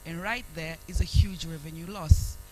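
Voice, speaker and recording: A woman from Tanzania with a Tanzanian accent, giving an earnest, measured talk as a panelist at a conference. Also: fairly low pitch, steady tone, mellow, faint music behind, rough recording. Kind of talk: panel presentation.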